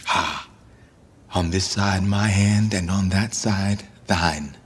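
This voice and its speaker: A man acting a deposed English king in Shakespearean verse, grieving and bitterly ironic, with a theatrical, deliberate delivery. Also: low voice, steady tone, slightly rough, exaggerated voice. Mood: taunting grief. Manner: theatrical, deliberate, swinging from hushed to declaiming. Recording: long reverberant hall.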